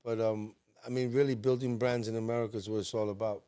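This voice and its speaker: A man's voice: lowish voice, fast talker, clean tone. A man co-hosting a podcast in a studio, talking casually and getting emphatic when he makes a point. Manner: emphatic, gets animated when making a point. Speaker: podcast co-host.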